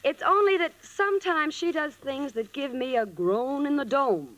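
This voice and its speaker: A woman's voice: sits high, moderate pace, lo-fi sound. An actress playing a wisecracking, affectionate young American working girl in a 1940s radio sitcom. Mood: wry, fond. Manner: wry, animated, theatrical.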